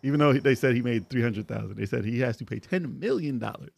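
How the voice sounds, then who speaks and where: A man's voice: mid-range voice, expressive, fast, vocal fry, breathy edge. A man co-hosting a video game podcast, reading out news stories and laughing often between lines. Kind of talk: casual podcast chat.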